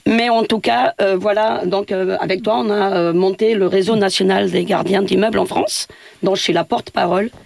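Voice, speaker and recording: A woman's voice: mid-range voice, steady tone, moderate pace, creaky, darkish tone, dry recording. A woman from Portugal, a building caretaker speaking as a radio interview guest, hesitant with many fillers and restarts.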